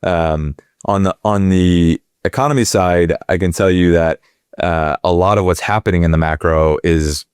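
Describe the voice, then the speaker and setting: A middle-aged man with a deep voice, talking loosely in an interview, with lots of fillers and restarts. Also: steady tone, normal speed, a little mellow, smooth, no echo, clean studio sound. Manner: rambling, many fillers and restarts. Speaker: guest, company executive. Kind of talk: conversational interview.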